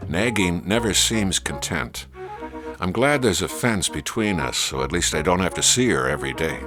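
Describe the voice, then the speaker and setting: A man reading his own humorous, reflective essay as scripted narration, with a wry, measured storytelling manner. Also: on the low side. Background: music.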